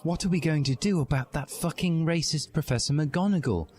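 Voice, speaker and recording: A man's voice: fairly high pitch, brisk, darkish tone, voice pushed up, close to an everyday voice. A man voicing a tearful, melodramatic teenage schoolgirl in a comic story reading. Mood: tearful, offended. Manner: sobbing, melodramatic. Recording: music behind the voice.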